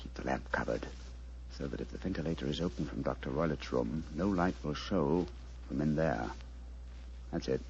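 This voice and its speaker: An actor playing a commanding, razor-sharp detective with a British accent and precise theatrical diction, in a 1940s radio drama. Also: male voice, very deep voice, normal speed, creaky. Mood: hushed, tense. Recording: dull, noisy 1940s radio recording.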